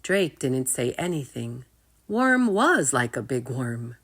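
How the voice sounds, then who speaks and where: Low-voiced woman reading expressively to children. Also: big pitch swings, slow, fairly bright tone, husky. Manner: engaging listeners with asides. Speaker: children's storytime reader. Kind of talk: expressive read-aloud narration for children.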